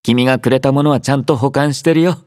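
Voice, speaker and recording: An actor playing a laid-back young male army doctor in a game, speaking in a relaxed, drowsy, gently teasing way. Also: medium pitch, fast, dry recording, studio quality, natural voice. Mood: easygoing teasing. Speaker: game character voice lines. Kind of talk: game voice collection. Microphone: close studio mic.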